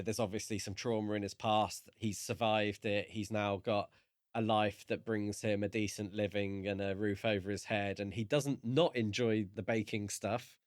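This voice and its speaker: A man's voice: fairly low pitch, no echo, studio quality. A man co-hosting a podcast, talking casually and thoughtfully about a book. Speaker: podcast co-host.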